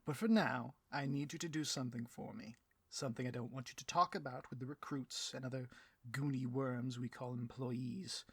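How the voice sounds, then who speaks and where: A man's voice: medium pitch, fairly even intonation, normal speed, gravelly, fairly bright tone, slightly rough, no room sound. A man playing a bloviating, petty supervillain boss in a comedic audio roleplay, with a pompous, melodramatic delivery and a taste for rants. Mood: self-important.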